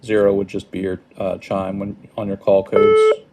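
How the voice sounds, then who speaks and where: A man giving an informal hands-on product tutorial in a hesitant, halting, apologetic manner. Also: lowish voice, wildly swooping pitch, a little mellow. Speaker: product demonstrator. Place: indoors at a wooden table.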